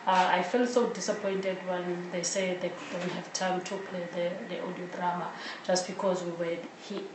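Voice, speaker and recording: A woman tells her own account haltingly in an interview. Her voice is fairly low and very flat in melody, recorded in an echoey room with clear background noise. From South Africa. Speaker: audio drama team member.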